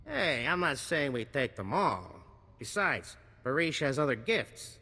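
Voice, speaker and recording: Sharp, bright wisecracking arms dealer. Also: male voice, mid-range voice, very animated, measured pace, long reverb tail, exaggerated voice. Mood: conspiratorial, wheedling. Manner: slick, sardonic salesman patter. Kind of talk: video game cutscene acting.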